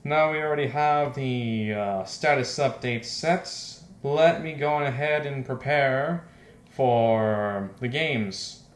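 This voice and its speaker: A man's voice: mid-range voice, slow pace. A man giving casual let's play commentary as host, self-deprecating and exasperated, often breaking into laughter. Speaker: game streamer, host. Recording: roomy echo, faint music behind.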